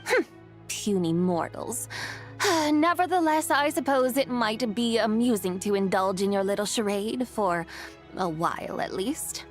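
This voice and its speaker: A woman's voice: fairly high pitch, animated, a little slow, faint music behind. A woman voicing a haughty, aloof self-proclaimed immortal in a video game, speaking in an imperious, formal way. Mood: haughty, amused. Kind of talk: English video game voice acting.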